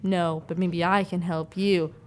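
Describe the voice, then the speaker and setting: A woman's voice: on the low side, flat delivery, a little slow, a little mellow. A woman in a casual group chat who playfully acts out dialogue as she talks. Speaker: podcast panelist. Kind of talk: casual group chat quoting cartoon lines.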